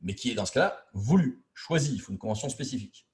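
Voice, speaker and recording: A man's voice: mid-range voice, animated, fast talker, a little mellow. A man giving an informal training lecture as a trainer, colloquial and didactic, stressing key words. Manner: colloquial and didactic, acting out imagined quotes. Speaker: trainer lecturing local officials on intermunicipal law.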